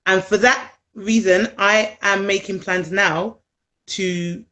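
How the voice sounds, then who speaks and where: A woman's voice: medium pitch, steady tone, slow pace, sharp tone. A young British-born woman calling in as a viewer, talking earnestly in a casual live chat over a video call. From England. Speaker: viewer guest.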